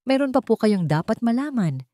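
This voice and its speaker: A woman's voice: on the high side, sing-song, normal speed, dark tone, no echo, studio quality, voice pushed up. A woman voicing a formal, respectful male army general in a story, grave and concerned in manner.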